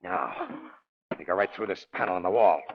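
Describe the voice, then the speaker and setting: A man's voice: sits low, expressive, normal speed, gravelly, slight background noise. A man playing a menacing, gloating gangster in 1930s radio drama style, sneering and taunting in tough-guy slang. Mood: gloating menace. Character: menacing gloating male gangster, legally dead, turning frantic. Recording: echoey, muffled 1930s radio recording.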